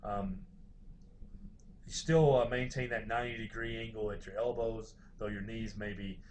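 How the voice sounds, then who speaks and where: A man's voice: on the low side, steady tone, normal speed, a little mellow. Man lecturing informally over background noise. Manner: hesitant, hedging, explanatory. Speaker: university lecturer.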